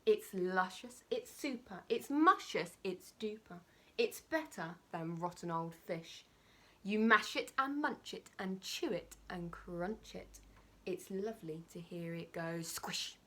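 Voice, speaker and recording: Storybook villain: enormous gloating male crocodile, played by a woman. Slow, breathy, sly and menacing, with a bright edge. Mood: gleeful relish. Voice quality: slow, low, often breathy near-whisper. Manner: menacing, relishing each word.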